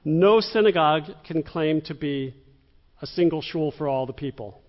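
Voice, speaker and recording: Brief: middle-aged male moderator, slightly high voice, echoey hall. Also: moderate pace. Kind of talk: moderating a public Q&A. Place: synagogue stage before an audience. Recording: dull echoey hall recording.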